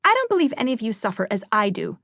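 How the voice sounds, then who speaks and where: A woman's voice: a little high, wildly swooping pitch, rapid-fire, a bit bright, no room sound, clean studio sound, voice pushed up, exaggerated voice. A woman voicing a prim, dramatic youngest sister, a little girl with an affected, self-important manner, in an audiobook. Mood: indignant.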